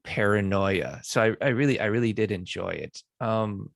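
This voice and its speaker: A man hosting a podcast, talking in a relaxed way with many fillers. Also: lowish voice, normal speed, vocal fry, no room sound, clean studio sound. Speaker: podcast host. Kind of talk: casual podcast interview.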